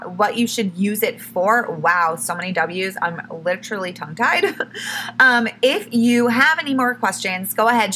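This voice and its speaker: A young woman hosting her own podcast, talking casually and correcting herself often, a little hoarse as she starts to lose her voice. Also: medium pitch, pushed and bright, a little hiss or hum. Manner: chatty, self-correcting, says "like" and "literally" a lot. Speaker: podcast host. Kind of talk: casual solo podcast tips.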